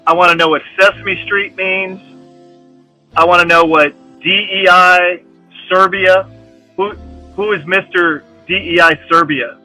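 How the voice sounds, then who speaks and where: A man's voice: sits high, fairly even intonation, a little slow, pushed and bright, soft background music, poor audio. A man co-hosting a casual livestream, cutting in with jokes and wisecracks. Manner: joking, wisecracking. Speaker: livestream co-host. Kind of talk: casual stream chat.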